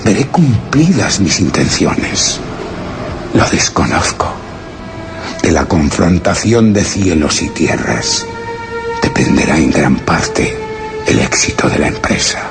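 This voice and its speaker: A man's voice: low voice, sing-song, creaky, exaggerated voice. An actor playing a brooding exiled man who reads his written account in a solemn, literary Castilian Spanish radio theatre monologue. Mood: wistful, reflective. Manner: solemn, literary, measured delivery. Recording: voice under loud dramatic music.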